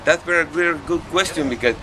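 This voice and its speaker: A middle-aged man from Peru with a Spanish accent, talking casually in halting non-native English as an interviewed painter. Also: on the high side, low-quality recording. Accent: Peruvian Spanish. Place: outdoors on a porch. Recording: outdoor street noise.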